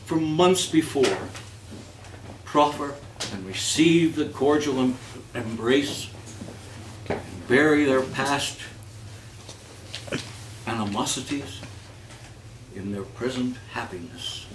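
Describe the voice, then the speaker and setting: A man's voice: mid-range voice, slow, pauses often. Male host for live holiday readings and quiz banter, theatrical and playful, heard from a distance in a noisy, echoing hall. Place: indoor community event before an audience. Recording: echoey room, distant mic, noisy audience.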